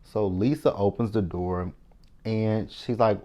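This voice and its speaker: A man's voice: fairly low pitch, slow, warm tone. A man from Texas with a Texan accent, casually recapping a TV episode in a chatty, sassy, opinionated way, talking straight to his viewers. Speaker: TV recap host.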